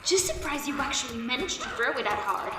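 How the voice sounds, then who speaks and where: Fighter for a woman: bold, wisecracking, high and lively. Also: brisk, recorded from a distance. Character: fierce, joking female fighter. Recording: roomy, loud noise.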